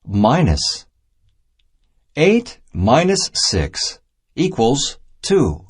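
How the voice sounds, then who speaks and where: A man narrating a simple children's math storybook as a scripted, instructional read-aloud, in deliberate, separated phrases. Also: mid-range voice, wildly swooping pitch, slightly rough.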